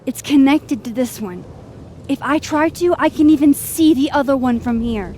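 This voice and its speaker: Woman playing earnest young woman, higher-pitched. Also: mellow, slight background noise, natural voice. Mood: earnest, halting. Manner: halting, earnest, pleading. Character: earnest, anxious young woman with secret timeline-hopping power. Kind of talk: naturalistic video game drama acting.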